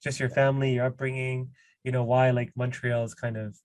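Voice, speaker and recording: A man hosting a podcast, speaking at a middle pitch with a fairly level, even delivery. He talks casually and loosely, full of little fillers. The sound is dry and close, with no room echo. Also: fairly quick. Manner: relaxed, casual, uses fillers like "you know". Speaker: podcast host. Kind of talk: podcast interview.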